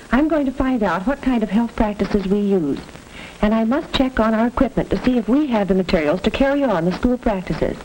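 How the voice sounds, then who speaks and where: Actress for appreciative, proper 1940s mother, mid-pitched and formal, on a faintly noisy vintage film track. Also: dark tone, lightly acted. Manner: scripted, polite, formal. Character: appreciative, earnest mother visiting her child's teacher. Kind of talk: 1940s educational film acting.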